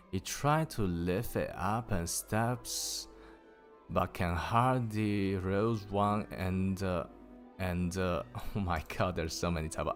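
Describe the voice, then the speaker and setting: A man streaming a casual story reading, raising his pitch for the characters and stopping to laugh and swear at typos. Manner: lifts pitch for quoted characters. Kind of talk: casual livestream book reading.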